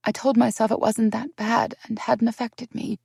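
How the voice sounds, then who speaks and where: A woman's voice: a little high, little pitch movement, normal speed, vocal fry, a little mellow, slightly rough, dry recording, studio quality, voice pushed up. A woman reading an audiobook voices a distraught young woman confessing a painful secret, her speech pleading and breaking into tears.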